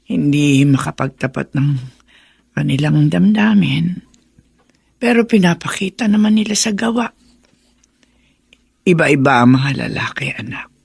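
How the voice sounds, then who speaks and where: An actress playing a sickly, affectionate elderly mother in a Filipino radio drama, with a creaky, husky voice. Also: sits low, expressive, a little mellow, no echo, full character voice. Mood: fond, advising. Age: older. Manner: slow, tender, motherly.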